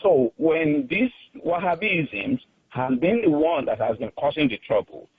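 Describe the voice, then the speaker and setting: A man from Nigeria with a Nigerian accent, calling in to a radio show, earnest and halting with many fillers. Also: on the high side, very animated, husky, no room sound. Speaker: caller. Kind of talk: call-in radio talk. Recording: tinny phone line.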